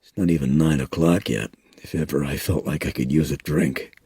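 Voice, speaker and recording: A man voicing a weary, guilt-ridden small-town police chief with a gruff manner. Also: very deep voice, fairly even intonation, normal speed, dark tone, no room sound, studio quality, voice pushed down, exaggerated voice. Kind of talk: audiobook character reading.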